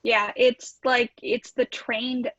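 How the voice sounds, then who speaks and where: A woman's voice: a little high, level tone, takes it slowly, a bit bright, dull audio. A woman talking in an informal, conversational way within a group. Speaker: podcast cast member. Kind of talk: casual chat.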